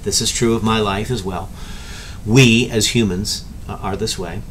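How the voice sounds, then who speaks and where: A man's voice: fairly low pitch, moderate pace, a little hiss or hum, poor audio. A middle-aged man teaching a church class in a casual, conversational way, checking in with "okay?" and gesturing as he talks. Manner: conversational, animated hand gestures, keeps asking "okay?". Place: home study with bookshelves and whiteboard.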